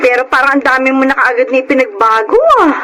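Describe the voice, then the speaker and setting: A woman playing an excitable, teasing younger sister with a Filipino accent in a radio drama, full of exclamations. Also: high voice, fast, slight background noise.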